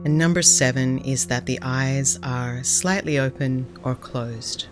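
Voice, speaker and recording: A woman speaking as a Buddhist nun and meditation teacher, giving calm, gentle, unhurried guided meditation instruction. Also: very deep voice, music in the background.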